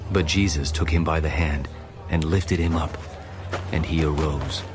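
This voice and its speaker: A man narrating a dramatized audio Bible as a solemn, measured storyteller. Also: very deep voice, fairly even intonation. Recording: music bed behind narration.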